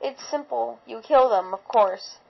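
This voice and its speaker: A woman voicing a cruel, all-knowing princess mare in a storybook reading, slow and imperious. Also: on the high side, vocal fry, highs cut off. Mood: smug, cold.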